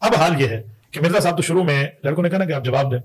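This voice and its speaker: A man's voice: on the high side, rapid-fire, slightly rough. A man in his thirties with a Pakistani accent, talking insistently and argumentatively on a podcast. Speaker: podcast guest, mufti. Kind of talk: podcast conversation. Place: indoor podcast studio. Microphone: close boom-arm podcast mic.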